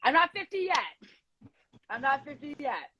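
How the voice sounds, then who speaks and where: A woman's voice: high voice, big pitch swings, normal speed, highs cut off, a little hiss or hum. A woman around forty hosting a casual chat, blunt and candid, with free swearing. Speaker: podcast host.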